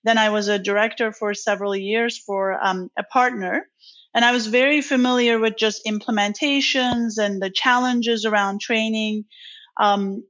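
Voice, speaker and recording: A woman's voice: mid-range voice, a bit bright. Chatty woman from turkey in her 40s, lots of ums, kinda dull lo-fi audio. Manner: chatty, many ums and restarts. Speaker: podcast guest, training company founder. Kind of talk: casual interview answers. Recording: dull lo-fi sound.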